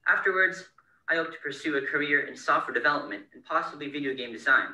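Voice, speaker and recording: Teenage boy, bright voice, roomy, far from mic, reading an essay. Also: on the high side, quick pace. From California, United States. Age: teens. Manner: steady, earnest reading. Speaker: scholarship winner. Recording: bright, roomy, distant recording.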